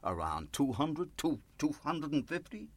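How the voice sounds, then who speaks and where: A man's voice: a little high, very animated, measured pace, dry recording. An actor playing a desperate, bitter, insistent man in a radio play. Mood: eager, persuasive. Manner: insistent, sardonic, emotionally volatile. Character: desperate, bitter man pleading and manipulating his pastor for money.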